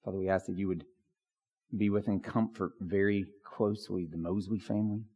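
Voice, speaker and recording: A man preaching as a pastor, with a hoarse voice and lots of fillers and restarts. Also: sits low, steady tone, a little fast, warm tone. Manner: filler-heavy, restarts often. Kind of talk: prayer and sermon. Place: church sanctuary. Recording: long church reverb.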